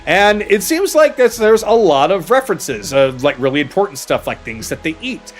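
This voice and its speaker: A man with a fairly high voice narrates a tabletop roleplaying game as game master. He feels out his descriptions as he goes, pausing to think aloud. Soft music plays behind him. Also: sing-song. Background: background music.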